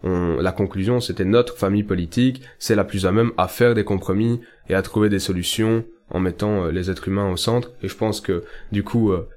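A man's voice: brisk, few pauses, darkish tone. A young Belgian man hosting a political podcast, speaking earnestly and stressing his key points. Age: young adult. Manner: earnest, emphatic, rises in pitch on key points. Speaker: podcast host.

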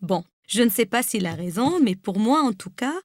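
A woman's voice: medium pitch, animated, normal speed, a little hiss or hum. A woman from Lebanon, a native Arabic speaker with a Lebanese accent and a rolled R, reading a personal essay aloud. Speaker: guest reader.

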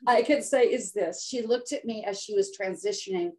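An older American woman speaking as a podcast guest over a remote call, voice at an everyday middle pitch. She tells her story dramatically and acts out the words of others. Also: normal speed, warm tone. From United States. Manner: dramatic, acts out quotes with voice shifts. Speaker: guest health coach. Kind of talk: emotional storytelling in interview.

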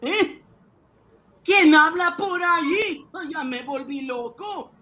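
Guy doing a old man ghost from the afterlife, high quavery voice, slow n drawn out, amateur radio play. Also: animated, sharp tone, exaggerated voice. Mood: eerie, lamenting. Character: quavering old male ghost calling from the beyond, Chencho. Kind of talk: amateur radio drama acting.

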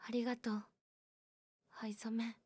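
A woman's voice: on the high side, flat delivery, measured pace, slightly rough, dry recording. An actress voicing a frank, cheerful teenage schoolgirl who talks candidly and teases a little. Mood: tender. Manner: candid, gently teasing. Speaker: student. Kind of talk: voice drama acting.

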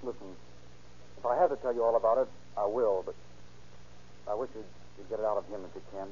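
Low-voiced, upset, hesitant young witness. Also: male voice, darkish tone, husky. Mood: bitter restraint. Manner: hesitant, earnest, halting. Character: upset, bitter friend of a shot young woman. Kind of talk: 1930s-40s radio crime drama acting. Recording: dull old radio recording, faint noise.

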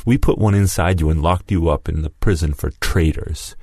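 Guy doing an enraged betrayed comrade, cracking voice, yelling, dry sound. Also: a bass voice, normal speed, no echo. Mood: snarling threatening. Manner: shouting, accusing, voice cracking. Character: furious vengeful male former comrade.

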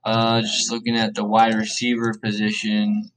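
A man hosting a podcast, thinking aloud in a casual, rambling way, his voice a little raspy and worn. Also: lowish voice, completely flat delivery, moderate pace, a bit bright, a little hiss or hum, rough recording. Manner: rambling, laid-back, self-correcting. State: slightly raspy, tired voice. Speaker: podcast host. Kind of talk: casual thinking aloud during a fantasy draft.

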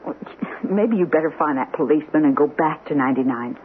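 An actress playing a practical, puzzled housewife in a vintage radio drama, with a questioning, animated manner. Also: female voice, low voice, fast talker, close to an everyday voice. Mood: concerned. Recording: old radio drama, tinny and noisy.

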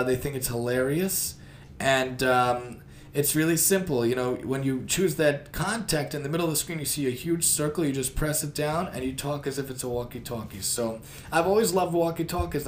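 A man's voice: mid-range voice, slight background noise. A middle-aged American man hosting a casual solo podcast, talking in an enthusiastic, emphatic way and often repeating himself. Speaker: podcast host. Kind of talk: casual solo podcast talk, tip of the day.

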